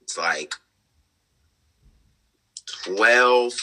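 A man in his twenties, a guest on an interview, talking earnestly about his life with many fillers and soft laughs over a remote call. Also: lowish voice, extremely slow. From United States. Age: 20s. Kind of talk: conversational interview, personal story.

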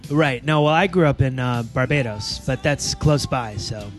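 A man's voice: medium pitch, normal speed, music in the background. A man co-hosting a casual chat, speaking with dry, wry humor. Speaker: co-host. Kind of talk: casual chat among friends.

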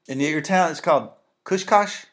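A man's voice: fairly high pitch, normal speed. A man with a Southern US accent hosting an interview podcast in an easygoing, friendly way. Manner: easygoing, chuckles often. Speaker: podcast host.

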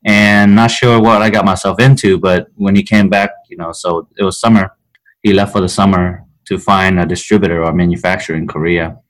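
A man in his thirties from Vietnam talks through his life story as a podcast guest. His voice sits very low, and he rambles in an easygoing way, full of fillers. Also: a little fast, poor audio. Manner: relaxed storytelling with many fillers. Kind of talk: casual chat.

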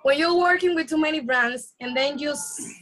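A woman with a Dominican accent whose first language is Spanish. She speaks in a very high voice. She talks like a guest on a casual podcast, chatty and laughing often. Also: normal speed, a bit bright. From Dominican Republic.

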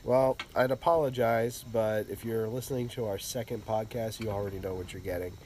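A man hosting a laid-back podcast, his voice a bit low and thick with a head cold. He rambles easily with plenty of fillers, and there is a faint hum of noise behind him. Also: steady tone, a little fast, mellow. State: congested from a cold. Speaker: podcast host. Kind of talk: casual podcast chat.

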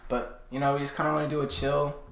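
A young man co-hosting a casual podcast, moving between a loud projected voice and quieter, lower talk. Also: little pitch movement, fast talker, vocal fry, reverberant, slight background noise. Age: young adult. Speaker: podcast co-host. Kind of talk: casual chat.

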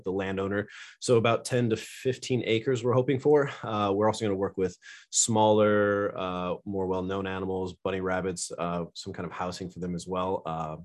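A young American man from California, a podcast guest who talks loosely and jokes a lot. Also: lowish voice. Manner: joking, loose and candid, laughs often. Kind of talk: casual interview chat.

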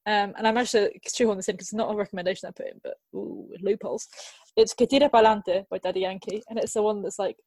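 A woman's voice: mid-range voice, fast, a little mellow, no echo. Chatty young woman, dry sound. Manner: chatty, jokey, self-mocking. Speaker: podcast co-host. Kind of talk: casual chat.

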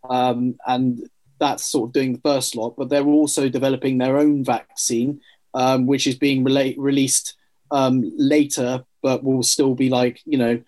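A man's voice: medium pitch, level tone, measured pace, darkish tone. A man in casual discussion, blunt and colloquial, reaching for analogies.

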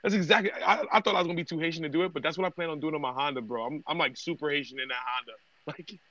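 A man co-hosting a casual chat show, bantering excitedly and laughing often. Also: fairly high pitch, rapid-fire, dry recording, dull audio. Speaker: podcast co-host.

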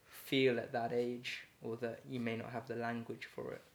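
A man's voice: mid-range voice, monotone, moderate pace, gravelly. A man, a poet speaking as an interview guest, thoughtful and hesitant, with many fillers and restarts and easy laughter. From Ecuador and England. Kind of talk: casual reflective conversation.

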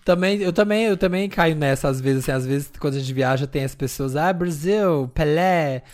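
A man, co-hosting a podcast in animated, chatty conversation full of fillers. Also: fairly high pitch, normal speed. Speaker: podcast co-host. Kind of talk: casual chat.

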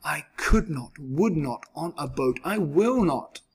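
A man's voice: a little high, animated, takes it slowly, slightly rough, exaggerated voice. A man voicing a stubborn, grumpy storybook creature, huffy and emphatic in his exasperation. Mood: indignant, stubborn.

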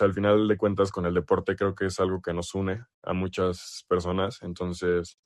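A man's voice: low voice, dry recording, studio quality. A young man with a Mexican accent answering casually in an interview as an athlete. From El Salvador. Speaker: basketball player being interviewed.

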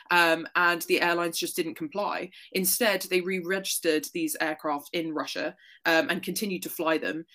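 A woman guest on a podcast, explaining a news story in a relaxed way with frequent ums. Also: lowish voice. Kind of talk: casual explanatory chat.

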